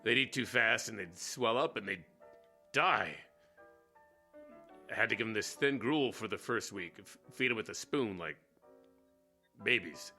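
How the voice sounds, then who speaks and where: A man acting in a radio drama as a gruff, hard-drinking, cynical war veteran who manages a station, blunt and sardonic, with an American accent. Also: on the low side, expressive, a little slow, pauses often, sharp tone, soft background music, exaggerated voice. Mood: haunted, agitated. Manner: blunt, sardonic, stumbling over words.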